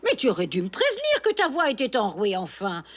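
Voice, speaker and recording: A woman playing a fussy, brisk schoolteacher in an animated cartoon, giving instructions to the class. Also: fairly high pitch. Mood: concerned. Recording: cartoon soundtrack with music.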